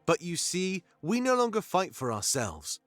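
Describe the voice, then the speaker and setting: An actor playing a fervent rebel leader in a fantasy video game, giving grandiose, oratorical speeches. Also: male voice, sits high, huge pitch swings, normal speed, a bit bright, dry recording, exaggerated voice. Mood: earnest, rousing. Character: fervent male rebel leader, an anthropomorphic animal rallying his fighters. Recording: music behind the voice. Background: music.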